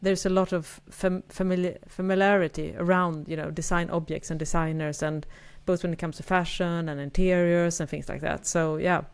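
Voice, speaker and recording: Woman, podcast co-host, hesitant and reflective, slightly low pitch. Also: flat delivery, normal speed, hardly any pauses, clear, resonant tone. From Sweden. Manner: thoughtful, hesitant, repeats words. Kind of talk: casual chat.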